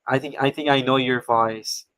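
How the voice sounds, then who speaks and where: Halting man hosting a live stream. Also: mid-range voice, fairly even intonation, fairly bright tone, low-quality recording. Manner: halting, repeats words, non-native grammar. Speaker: host. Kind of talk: casual live-stream chat.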